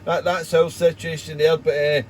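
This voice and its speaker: A man's voice: on the high side, little pitch movement, normal speed, a little hiss or hum. A Welsh man in his forties chatting casually over ham radio, rambling and repeating words. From Wales. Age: 40s.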